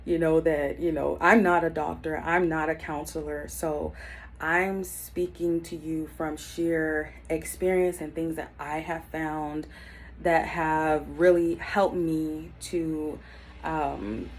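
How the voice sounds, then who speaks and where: A woman's voice: low-pitched, fairly even intonation, takes it slowly, slight background noise. Earnest woman in her thirties, reflective. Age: 30s. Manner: earnest, reflective, many ums and restarts. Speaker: YouTube self-help vlogger. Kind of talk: unscripted personal talk to camera.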